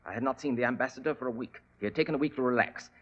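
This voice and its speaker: A man's voice: mid-range voice, racing, natural voice. A man playing a flustered, stammering young secretary. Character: nervous young male secretary, suspected. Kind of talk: 1940s radio acting. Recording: dull old radio broadcast.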